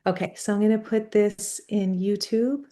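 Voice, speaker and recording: A woman's voice: mid-range voice, steady tone, normal speed, dark tone. A woman coach hosting a live online workshop on a video call, speaking earnestly and encouraging her guest.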